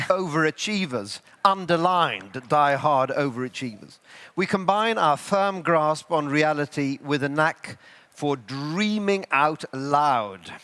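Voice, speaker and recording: A man makes a stage introduction as host. His voice is slightly high for a man and very clear, and he speaks slowly and deliberately. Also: fairly bright tone.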